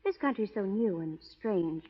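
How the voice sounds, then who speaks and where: Radio western schoolteacher: young, spirited, expressive, medium pitch, on a muffled old broadcast recording. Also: female voice, moderate pace, breathy edge. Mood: earnest, unsure. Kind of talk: 1930s-40s radio drama acting.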